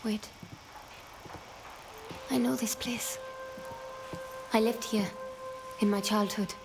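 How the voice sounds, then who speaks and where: Guarded young adventurer, music behind. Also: female voice, fairly high pitch, fairly even intonation, takes it slowly, vocal fry, poor audio. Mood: guarded, dry. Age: young adult. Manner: terse, dry, wary. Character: guarded, self-reliant young Indian woman adventurer, dryly sceptical. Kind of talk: video game cutscene acting.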